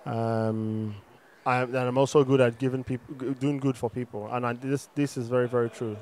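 A man speaks as a congregant giving his testimony. His pitch is average and stays very flat. He hesitates and searches for words, with faint open-air ambience behind him. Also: moderate pace, slight background noise. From Enugu, Nigeria. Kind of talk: religious testimony, answering questions. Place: open arena. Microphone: handheld microphone held for him.

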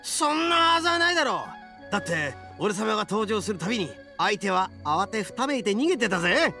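A man voicing a brash, hot-blooded young braggart in a Japanese anime game, cocky and pompous with rough phrasing. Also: high voice, sing-song, brisk, pushed and bright, soft background music, exaggerated voice. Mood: indignant.

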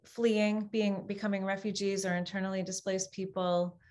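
Thoughtful woman guest, many pauses. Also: medium pitch, flat delivery, darkish tone. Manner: thoughtful, hesitant with many fillers. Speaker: guest speaker, researcher. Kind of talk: webinar interview answers.

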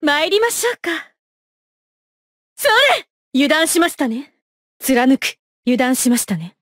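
High-voiced, haughty young lady knight. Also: expressive, moderate pace, dry recording, clean studio sound. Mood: fierce. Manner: formal, polite yet imperious, clipped battle cries. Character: poised, haughty young female knight, coolly ruthless in battle. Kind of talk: Japanese fighting game battle voice lines.

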